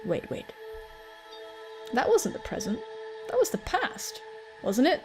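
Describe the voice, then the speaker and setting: Animated, high-voiced woman gamer. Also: slow, faint music behind. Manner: playful, jokey, swearing. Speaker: gaming video host. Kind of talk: casual let's play commentary, reading game text aloud.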